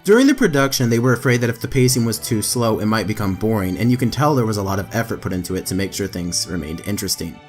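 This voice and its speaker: Male narrator, low voice, scripted trivia voice-over, faint music bed underneath. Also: expressive, fast, hardly any pauses. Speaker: anime trivia video narrator.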